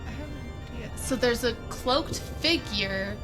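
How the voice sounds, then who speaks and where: A woman's voice: a little high, a little slow. A woman playing a tabletop roleplaying game on a livestream, chatting casually and thinking aloud hesitantly. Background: music.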